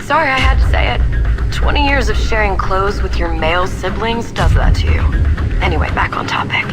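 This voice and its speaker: Wry woman scientist's audio log over loud music, acted. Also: medium pitch, expressive, a little fast, lightly acted. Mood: wry, pleased, then excited. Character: woman research scientist recording a personal log, wry and enthusiastic. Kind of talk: scripted audio log narration.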